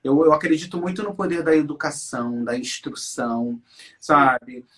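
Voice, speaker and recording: A man's voice: on the high side, moderate pace, fairly bright tone. Emphatic Brazilian man in his forties. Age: 40s. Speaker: interview guest, English teacher. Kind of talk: conversational interview, personal storytelling.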